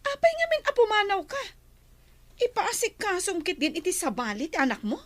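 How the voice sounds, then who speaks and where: A high-voiced household bully, young, mean and accusing, her pitch swinging widely. She speaks with an Ilocano accent in a radio drama. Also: huge pitch swings, normal speed, fairly bright tone, heavily acted. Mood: scornful.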